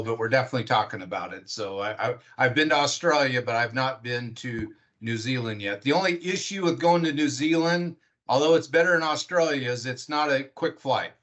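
A middle-aged American man hosts an interview podcast. His voice sits at a medium pitch, and he speaks conversationally as he puts questions to his guest. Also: a bit bright. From Washington State, United States.

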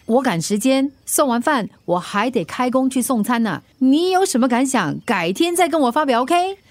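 A young single mother in a radio drama, high and very animated. She is a feisty, defensive woman with a Singaporean accent. She protests in short, snappy bursts, proud and exasperated. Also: moderate pace, dry recording.

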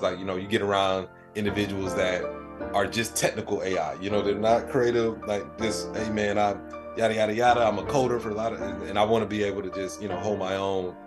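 A man with a low voice chats as a podcast guest. His delivery is informal and halting, full of conversational filler, and music plays clearly behind him. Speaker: podcast guest, comedian and AI artist. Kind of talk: casual chat.

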